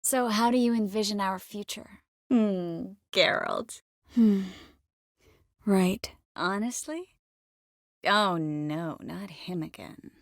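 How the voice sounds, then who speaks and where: Casting: young game sorceress, medium register, wry and self-assured with soft intimate murmurs, very dry recording. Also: female voice, medium pitch, slow pace, no room sound. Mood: tender, wistful. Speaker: voice actor in a video game. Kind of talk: scripted game character dialogue. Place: close studio recording.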